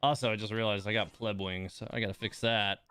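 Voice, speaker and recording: A man's voice: lowish voice, little pitch movement, fast talker, a bit bright, clean tone. A man giving casual livestream game commentary, rambling and muttering to himself as he plays.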